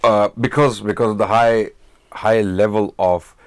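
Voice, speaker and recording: A man's voice: fairly low pitch, fairly even intonation, unhurried, clean tone, no room sound. A man whose first language is Urdu, taking part in a panel discussion, insistent and given to repeating his questions.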